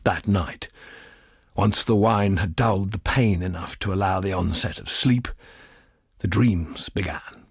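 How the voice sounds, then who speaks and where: Game villain: scheming, fervent thaumaturge, husky and very breathy, grandiose, close dry sound. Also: male voice, low-pitched, no echo, heavily acted. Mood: brooding, obsessive. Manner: slow, deliberate, grandiose. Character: arrogant, obsessive male thaumaturge, fervent and scheming. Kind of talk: dramatic scripted game monologue.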